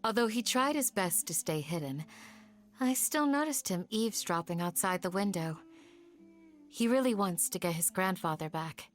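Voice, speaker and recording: A woman's voice: medium pitch, expressive, moderate pace, natural voice. Woman as a composed, kindly village guardian. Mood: calm, knowing. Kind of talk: English anime game dub.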